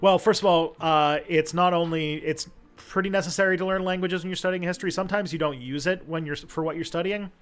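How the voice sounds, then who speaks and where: Man, somewhat high voice, hosting a casual livestream chat, loose and hesitant, lots of ums, faint music behind. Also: fairly even intonation, fast, few pauses, clear, resonant tone. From Canada. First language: English. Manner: chatty, hesitant, many fillers. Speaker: host.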